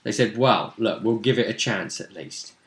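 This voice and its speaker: A man's voice: on the low side, moderate pace, a little hiss or hum. A man speaking as game master, casually recapping a tabletop role-playing session in a jokey, conversational way.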